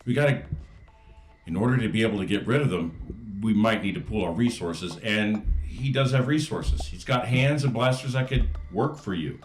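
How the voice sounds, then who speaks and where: A man's voice: fairly low pitch, expressive, moderate pace. A man playing a tabletop role-playing game, speaking casually and correcting himself as he goes. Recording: echoey room.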